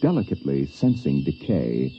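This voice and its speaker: A man narrating a 1950s radio drama in a grave, theatrical way with deliberate phrasing. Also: extremely low pitch, very animated, creaky, warm tone, slight background noise. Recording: muffled vintage radio, music behind.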